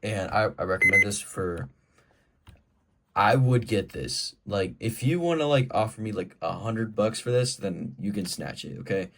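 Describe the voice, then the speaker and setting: A man with a fairly low voice talks casually through items in his collection. His delivery is informal and rambling, and he freely offers his own opinions as he goes. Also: expressive, moderate pace, creaky, fairly bright tone. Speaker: collector presenting a weather radio collection. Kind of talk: casual product show-and-tell.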